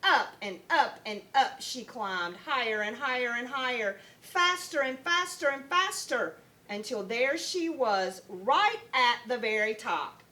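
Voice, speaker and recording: A woman with a very bright, fairly high voice reads a children's story aloud. She takes her time and tells it expressively, like a first grade teacher. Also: expressive, a little slow, hardly any pauses. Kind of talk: children's picture book read-aloud.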